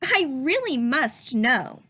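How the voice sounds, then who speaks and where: A woman's voice: high voice, very animated, slow, bright, forceful tone, husky, full character voice. A woman voicing a curious little boy in a storybook, asking earnest questions with questioning, rising phrasing. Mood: wondering. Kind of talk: storybook read-aloud.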